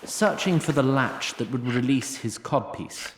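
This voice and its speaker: A male narrator tells a fantasy story in a wry, literary way. His medium-pitched voice moves with lively inflection, recorded with noticeable room sound. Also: a little slow. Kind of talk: dramatic scripted fantasy narration. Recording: roomy sound, faint background noise.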